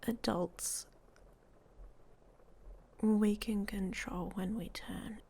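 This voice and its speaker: A woman playing a guarded, hot-tempered young werewolf in an ASMR roleplay, speaking in breathy half-whispers with a hesitant, dryly blunt manner. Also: medium pitch, fairly even intonation, very slow, warm tone, full character voice. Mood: quiet, confiding. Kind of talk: ASMR roleplay audio story, intimate monologue. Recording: faint background noise.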